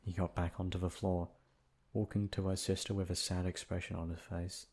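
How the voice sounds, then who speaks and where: A man's voice: low voice, level tone, moderate pace, darkish tone, husky. A man narrating a scripted bedtime story as an ASMR storyteller.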